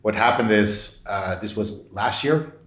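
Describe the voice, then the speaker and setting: A man's voice: fairly low pitch, a little slow, a bit bright. A man from Belgium, a podcast guest telling anecdotes casually and informally, re-enacting conversations as he goes. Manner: informal, re-enacts dialogue with frequent "like". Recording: roomy, echoey sound.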